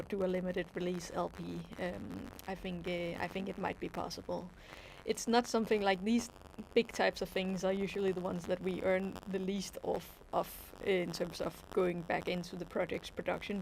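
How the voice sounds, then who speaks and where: A woman's voice: on the low side, vocal fry, a little mellow, a little hiss or hum. A woman with a Danish accent, hosting a casual livestream Q&A as an animation creator, reading viewer questions and answering thoughtfully with plenty of ums. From Denmark. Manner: reads viewer questions aloud, then answers thoughtfully with many fillers.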